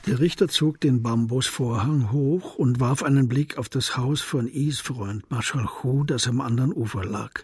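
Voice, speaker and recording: Male narrator for radio crime drama: mid-pitched, very breathy and husky, scripted storytelling. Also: normal speed, hardly any pauses, a little mellow, dry recording, clean studio sound.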